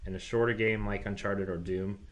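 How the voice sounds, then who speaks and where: A man's voice: lowish voice, fairly even intonation, brisk. A man co-hosting a gaming podcast in a laid-back, informal way, chatting and reading out listener questions.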